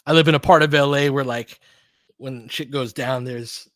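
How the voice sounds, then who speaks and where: A man's voice: medium pitch, steady tone, normal speed, fairly bright tone, no room sound. An American man hosts a casual basketball play-by-play in a mid-range voice. He calls the action in short, clipped phrases and drifts into chatty, informal asides. The sound is dry, with very little room. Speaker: fan host doing play-by-play commentary. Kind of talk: casual live basketball commentary.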